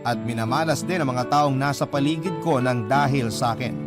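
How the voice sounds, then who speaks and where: Male actor as grieving orphan telling their sad life story radio drama style, solemn n sentimental, mid pitch, guitar music behind. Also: fairly quick, natural voice. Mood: sorrowful. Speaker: letter sender narrating a life story.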